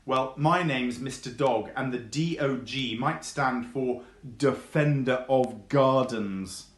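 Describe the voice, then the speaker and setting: A man's voice: medium pitch, slow, echoey room, lightly acted. A man voicing a protective, heroic dog in a children's story, firm and scolding. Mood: stern.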